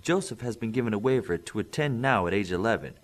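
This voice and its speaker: A man reading the part of a polite, firm official woman in her thirties, formal and measured, in an audiobook. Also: fairly low pitch, lively intonation, lightly acted. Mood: serious, firm.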